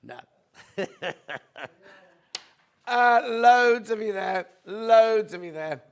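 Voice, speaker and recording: High-voiced chatty male host. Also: drawn-out words, gravelly. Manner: chatty, reads viewer messages aloud warmly. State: slightly croaky voice. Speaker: shopping channel host. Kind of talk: live TV shopping presenting.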